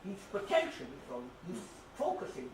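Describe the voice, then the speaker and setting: A far-off, echoing recording of an older woman speaking from the audience. She explains her thoughts on art in a halting, discursive, unscripted way. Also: mid-range voice, wildly swooping pitch. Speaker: audience member commenting on art. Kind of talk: unscripted academic comment. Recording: echoey, noisy hall, far from microphone.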